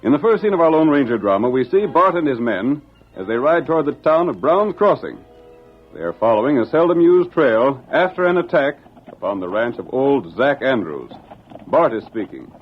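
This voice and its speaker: Grand, animated old-time radio announcer, male. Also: mid-range voice, normal speed, breathy edge, faint music behind. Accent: American. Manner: grand, declamatory. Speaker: radio drama announcer and narrator. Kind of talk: dramatic 1940s radio narration. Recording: muffled old radio broadcast.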